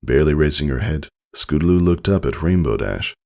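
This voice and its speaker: A man narrates a story in an extremely deep voice with a creaky edge. His delivery is dramatic and deliberate, with expressive swings in pitch that carry the scene along. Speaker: narrator reading fan fiction.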